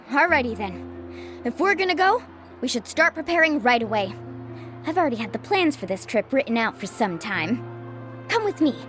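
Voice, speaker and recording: An actress playing an upbeat, earnest young female guide in an anime video game, friendly and expressive. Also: sits high, normal speed, long pauses. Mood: warm, upbeat. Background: music.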